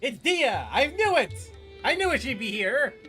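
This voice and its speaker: A man giving casual, reactive game commentary as a streamer, slangy, joking and excitable. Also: very high voice, moderate pace. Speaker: gaming streamer. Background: game music.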